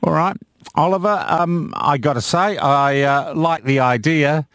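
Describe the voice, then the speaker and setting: A man hosting a radio talk show, asking questions in a conversational, slightly halting way. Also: on the high side, a bit bright, no echo. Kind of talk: radio interview.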